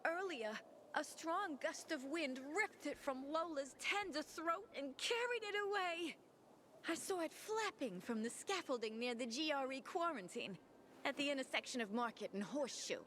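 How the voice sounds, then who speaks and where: A flamboyant, vain diva, high-pitched and swooping all over the place. She speaks of herself in the third person with grand theatrical flair, in a game scene with a faint hiss behind her. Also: lively intonation, normal speed, a bit bright. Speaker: singer. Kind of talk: video game dialogue.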